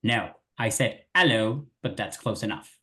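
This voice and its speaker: A man voicing a friendly, chatty little male worm with homely, rough colloquial speech. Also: lowish voice, measured pace, pushed and bright, heavily acted. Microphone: video call.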